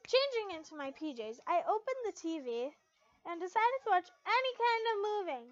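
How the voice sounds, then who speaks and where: Young female amateur storyteller, high girlish pitch, expressive delivery with stumbles, muffled close home recording. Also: moderate pace, no echo, soft background music. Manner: dramatic, stumbling and re-reading lines. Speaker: fan fiction reader.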